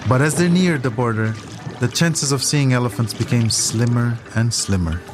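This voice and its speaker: A man's voice: medium pitch, normal speed, over music. A man delivering scripted documentary narration as a podcast narrator, telling a story in composed sentences.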